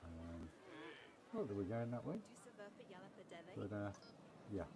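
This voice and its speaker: A man's voice: lowish voice, animated, takes it slowly, gravelly, a crowd in the background. A man giving a casual, unscripted game walkthrough as a streamer, hesitant and often trailing off. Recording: loud background noise behind voice.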